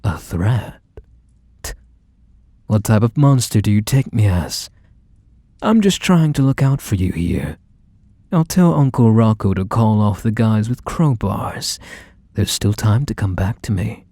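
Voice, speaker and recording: Low, husky, unhurried mafia boss for ASMR roleplay, male actor: manipulative, obsessive sweetheart talk with quiet menace, close and dry. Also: sing-song, no echo, exaggerated voice. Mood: defensive, coaxing. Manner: coaxing, drawn-out endearments, softly menacing. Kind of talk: ASMR roleplay, intimate monologue to the listener.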